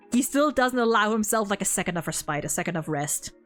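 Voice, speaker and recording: A woman's voice: mid-range voice, expressive, normal speed, fairly bright tone, soft background music. A woman chatting casually and effusively as a host discussing a game's story, with plenty of enthusiasm. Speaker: video host.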